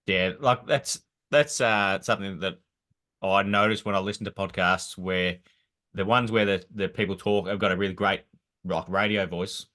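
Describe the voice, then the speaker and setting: A man hosting a podcast interview, with a deep, fairly monotone voice. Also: moderate pace. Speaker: podcast host. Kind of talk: casual interview.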